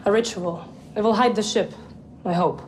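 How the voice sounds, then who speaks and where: An actress playing a solemn, dryly teasing mystic woman in a cinematic game, speaking deliberately and deadpan. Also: mid-range voice, a little hiss or hum, close to an everyday voice.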